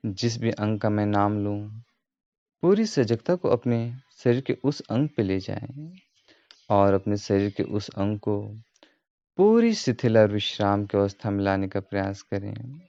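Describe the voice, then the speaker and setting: A man giving guided meditation instruction as a yoga teacher, in a calm, measured, repetitive way. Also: fairly low pitch, gravelly. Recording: roomy echo, dull muffled sound.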